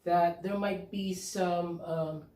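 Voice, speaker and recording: A man from the Philippines co-hosting a casual couple's vlog, talking in a halting, easygoing way and laughing often. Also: high-pitched, fairly even intonation, darkish tone. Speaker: vlog co-host. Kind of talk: casual chat. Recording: echoey room, mic at a distance.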